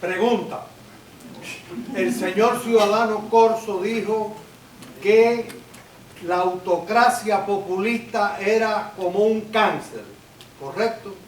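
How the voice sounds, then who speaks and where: Slow high-voiced man asking from afar. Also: fairly even intonation. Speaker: audience member asking a question. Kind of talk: asking a question in a public Q&A. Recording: echoey room, distant mic, faint noise.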